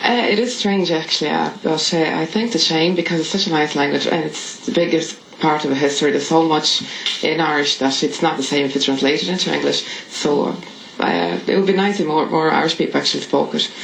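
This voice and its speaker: A woman's voice: low voice, brisk, creaky, few pauses, roomy sound, a little hiss or hum, poor audio. A woman from Brazil, a studio guest answering hesitantly and restarting words. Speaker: guest, Irish learner.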